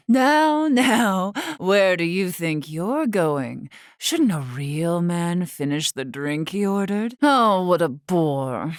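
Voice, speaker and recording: Slow, swooping, flirtatious drinker. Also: female voice, lowish voice, no room sound, clean studio sound. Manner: sultry, coy, drawling. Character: flirtatious, teasing woman who loves her drink. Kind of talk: scripted video game character acting.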